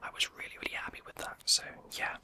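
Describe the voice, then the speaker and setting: A young adult YouTube creator whispering through an ASMR question-and-answer video, rambling and hesitant with many fillers. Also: fast.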